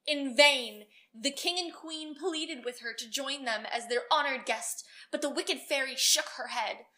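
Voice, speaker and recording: A woman storyteller reading a children's storybook aloud with expressive narration. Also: sits high, unhurried.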